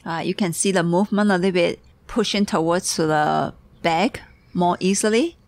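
A tired woman leads a tea tasting, halting and searching for words. Her voice sits low with a gravelly edge. The recording is dry and close, with no room sound. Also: a little mellow, dry recording. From Taiwan. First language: Taiwanese. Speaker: tea teacher.

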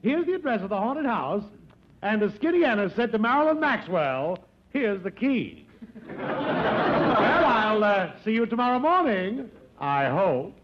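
An actor playing a gleeful, goading, eccentric broker in a theatrical, drawn-out manner for 1940s radio comedy. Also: male voice, high voice, big pitch swings. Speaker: comic character actor. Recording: muffled, noisy 1940s radio recording.

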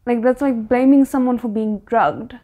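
Young adult female reactor, slightly high voice, earnest and reflective, unscripted casual commentary with hesitant phrasing. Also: normal speed, mellow. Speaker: anime reaction host. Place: small bedroom, indoors.

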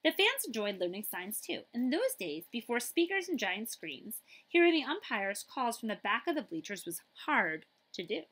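A middle-aged woman reading a children's picture book aloud, with dramatic emphasis and pauses. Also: fairly high pitch, big pitch swings, a bit bright, husky.

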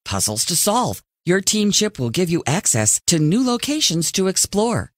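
A man's voice: high voice, sing-song, moderate pace, no echo, studio quality. A man playing an upbeat reality competition host in a video game, announcing with dramatic, tongue-in-cheek pomp. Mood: hyped. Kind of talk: scripted TV announcer narration.

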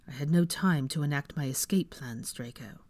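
A woman voicing a composed, aristocratic older male wizard in an audiobook story, speaking in a calm, measured way. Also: low-pitched, natural voice. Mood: grim, uneasy.

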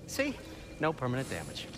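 An actor playing a nervous, eager dwarf inventor in a video game, with a rambling, polite manner. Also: male voice, medium pitch, noisy background.

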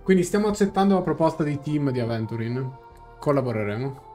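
Streamer: young Italian male, medium pitch, casual halting live translation, faint music behind. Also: fairly even intonation, mellow. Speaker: game streamer. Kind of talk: casual live reaction, translating game dialogue aloud. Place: small indoor room. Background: faint game music.

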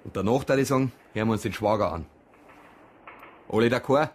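An actor playing a businesslike lead police investigator in a radio crime drama, directive and probing, with a husky voice. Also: male voice, fairly low pitch, fairly quick, a little mellow. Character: businesslike male police investigator leading the case. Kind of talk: naturalistic German radio crime drama acting.

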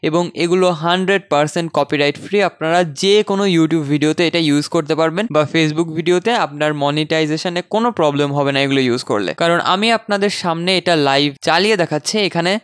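A man hosting a casual software tutorial, conversational and dropping in English terms. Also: on the high side, fast, few pauses, smooth, no room sound, clean studio sound. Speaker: tutorial host. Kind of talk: casual software tutorial screen-recording narration.

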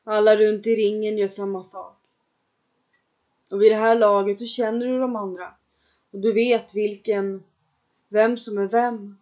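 A woman with a mid-range voice guides listeners through a trance meditation. She speaks in calm, measured second-person instructions and leaves long pauses between lines. The recording sounds dull and lo-fi. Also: mid-range voice.

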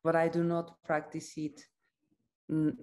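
A woman's voice: sits low, monotone, slow pace, a little mellow. A woman in her thirties from Mexico with a Mexican accent and Spanish as her native language, speaking reflectively on a video call and often tagging statements with a questioning "no?". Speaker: psychoanalyst and author in conversation. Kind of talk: reflective conversation. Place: indoor room at home, video call. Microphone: video call microphone.

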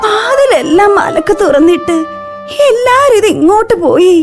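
Radio play: woman as a tearful, bossy ailing matriarch, high-pitched, music bed. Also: sing-song, moderate pace, full character voice. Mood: scolding. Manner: scolding, plaintive, weepy calls. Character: ailing older mother, fretful and bossy. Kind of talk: radio drama acting.

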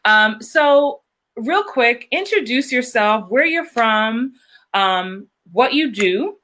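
A woman acting coach hosting a live-streamed interview, bubbly and animated, quick to laugh. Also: on the high side.

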